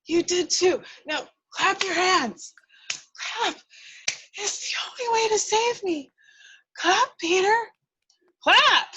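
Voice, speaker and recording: Woman doing a feisty little fairy, high voice, super scornful and theatrical, script read. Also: slow, long pauses, pushed and bright, exaggerated voice. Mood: pleading, demanding. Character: tiny feisty female fairy, jealous, sassy, bossy. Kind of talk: hobby table read acting.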